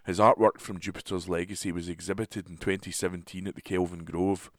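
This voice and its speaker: A man's voice: sits low, little pitch movement, brisk, dry recording, studio quality. A man volunteer reader reading a newspaper article aloud for listeners.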